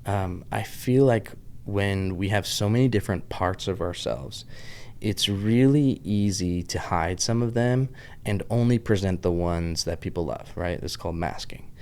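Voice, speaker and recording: 30s guy w a pittsburgh accent, bit southern, lowish smooth voice, rambles off on tangents like a vlog. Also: measured pace, a little mellow. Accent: Pittsburgh with Southern US touches. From Pittsburgh, USA. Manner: chatty, tangent-hopping, lots of "like". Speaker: vlogger host. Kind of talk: casual rambling monologue.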